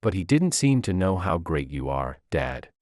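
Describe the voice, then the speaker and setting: A cheerful, frank little girl from an audio story, read by a man in his own low register. The delivery is innocent and proud, with lively intonation and a dry sound. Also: normal speed, no room sound. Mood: innocently proud. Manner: innocent, forthright.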